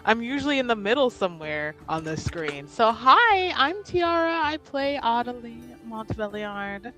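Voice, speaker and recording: A woman's voice: a little high, animated, a little slow, a bit bright, soft background music. A woman playing in a tabletop game stream, introducing her character in sweary, casual chat. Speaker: player in an actual-play game.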